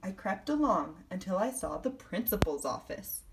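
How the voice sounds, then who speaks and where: A woman's voice: medium pitch, sing-song, moderate pace, background noise. A woman voicing a self-important, theatrical male class pet lizard in a children's storybook. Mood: eager, proud. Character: vain, dramatic male class pet lizard journaling his adventures. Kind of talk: first-person children's storybook read-aloud.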